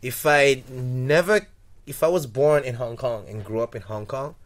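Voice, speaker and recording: A man's voice: medium pitch, moderate pace. Reflective young man from Togo. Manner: rambling, repeats words and restarts, reflective. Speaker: guest. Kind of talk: casual interview.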